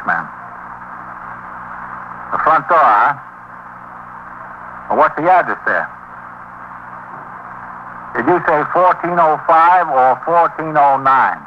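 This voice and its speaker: A no-nonsense cop, deepish guy, terse, dull 50s radio recording with a bit of hiss. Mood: brisk, questioning. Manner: businesslike, terse. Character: matter-of-fact, unruffled male New York police officer. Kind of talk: 1950s radio drama acting. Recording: muffled 1950s radio recording, faint noise.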